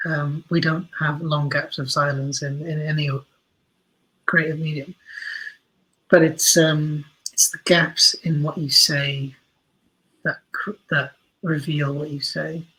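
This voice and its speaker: A man giving reflective interview answers as a writer, in a halting, emphatic way, repeating phrases for stress. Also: fairly high pitch, level tone, bright, forceful tone, husky, rough recording. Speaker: guest, audio drama writer.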